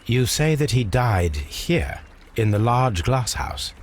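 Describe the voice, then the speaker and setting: An actor playing an eccentric, cerebral Victorian English gentleman detective in a video game, speaking formally with precise, dry wit. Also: medium pitch, animated, slow, slight background noise. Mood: polite, inquiring. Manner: formal, precise, dryly witty.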